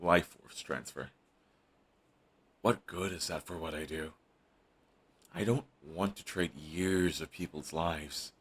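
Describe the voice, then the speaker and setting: A man's voice: rumbling low, husky, heavily acted. A man voicing a brooding villain who is defensive and lovestruck, talking close and intimately to the listener. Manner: intimate, shifts between hushed and heated. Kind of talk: audio roleplay monologue.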